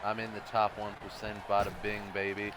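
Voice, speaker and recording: A man hosting a gaming video with casual, rambling commentary, repeating himself and swinging between muttering and shouting. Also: lowish voice, completely flat delivery, fairly quick, a loud crowd, very noisy.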